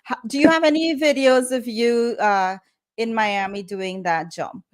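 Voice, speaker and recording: A woman's voice: a little high, a little slow. A woman hosting an interview, asking questions in an encouraging manner with hesitant fillers. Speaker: host.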